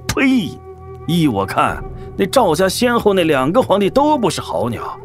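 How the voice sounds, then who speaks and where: Boisterous soldier, wildly swinging pitch. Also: male voice, wildly swooping pitch, measured pace, faint music behind, exaggerated voice. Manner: rough, hearty, unlettered. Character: coarse, blunt soldier, boisterous and flattering. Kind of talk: wuxia audio drama acting.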